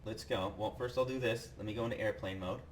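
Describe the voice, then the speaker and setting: A man with a fairly low voice walks viewers through a software demo step by step. He sounds casual and sold on the product, pitching it with enthusiasm. There is faint noise behind him. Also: steady tone, fast.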